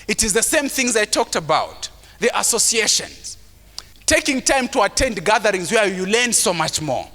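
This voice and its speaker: A man gives a motivational talk at a conference, preachy and sometimes shouting his points. His voice sits very high and sounds bright and forceful, with a mild stammer he works around. It rings out with the long reverb of a big hall. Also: big pitch swings, moderate pace. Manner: preachy, rising to shouts for emphasis; acts out timid voices of self-doubting people. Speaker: motivational speaker. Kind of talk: motivational talk to a live audience.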